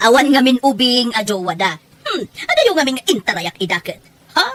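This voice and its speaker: A woman playing a defiant, lovestruck middle-aged mother in an audio drama, theatrical, boastful and quick to exclaim. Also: fairly high pitch, big pitch swings, a bit bright, no room sound. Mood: defensive. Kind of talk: Ilocano audio drama dialogue.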